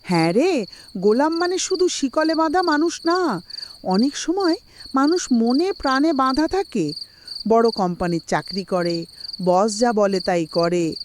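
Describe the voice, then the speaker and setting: Female actor as kindly old grandma, animated intonation, patient explaining, cartoon, clear background noise. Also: on the high side, measured pace. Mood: patient, instructive.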